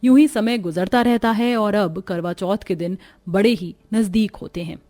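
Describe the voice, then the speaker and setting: A woman's voice: medium pitch, moderate pace, a little mellow. A woman narrating a scripted family story in a dramatic storytelling style.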